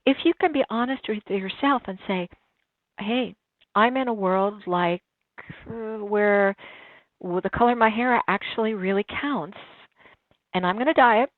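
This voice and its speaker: A woman's voice: medium pitch, a little slow, no echo. A woman in her sixties, a guest in an interview, talking reflectively and telling stories with frequent restarts, over a tinny line. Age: 60s. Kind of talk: casual interview conversation. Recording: tinny phone-line sound, dry.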